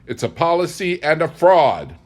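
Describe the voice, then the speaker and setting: A man's voice: a little high, very animated, moderate pace, pitched above the performer's own voice. Man reading as fervent, agitated governess, sweeping intonation. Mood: feverish. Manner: insistent, fervent, emphatic. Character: intense, agitated governess, an anxious young woman.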